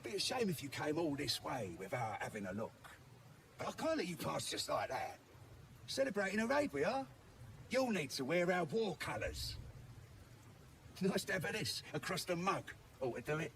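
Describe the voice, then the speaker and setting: A crude, mocking goblin camp guard, with a high, forceful snarl. The talk is coarse slang, swinging up and down in pitch like a lively game villain. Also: male voice, normal speed, a little hiss or hum. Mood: gloating, goading. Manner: coarse rustic slang with inverted sentences. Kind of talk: video game character acting.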